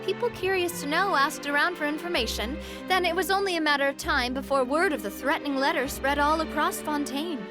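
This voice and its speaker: A woman's voice: high voice, brisk, hardly any pauses, natural voice. A woman voicing a breezy, confident young female leader in a video game, self-assured and lightly teasing. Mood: informative, confident. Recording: music behind the voice.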